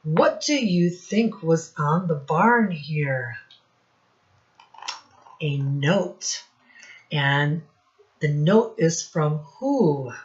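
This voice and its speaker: Lady teacher doing storytime for little kids, really slow n clear emphasis, encouraging, kinda muffled lofi audio. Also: low-pitched, animated, roomy sound. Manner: didactic, encouraging, addresses children directly, emphatic on key words. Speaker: teacher reading a storybook aloud. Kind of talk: children's read-aloud with questions to young listeners. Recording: dull lo-fi recording.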